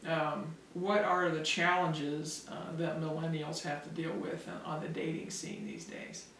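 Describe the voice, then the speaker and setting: A middle-aged man with a gravelly, fairly high voice asks easygoing questions and pauses on "uh" as he goes. He sits away from the mic, and the room around him is echoey. Faint ambient noise runs underneath. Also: distant mic. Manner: relaxed, hesitant questioning with uhs. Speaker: interviewer. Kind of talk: casual interview.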